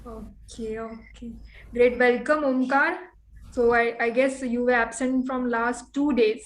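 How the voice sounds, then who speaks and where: Indian woman with an even, high voice. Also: a little slow. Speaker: meeting host. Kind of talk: casual online meeting talk. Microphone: video call. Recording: noisy video call audio.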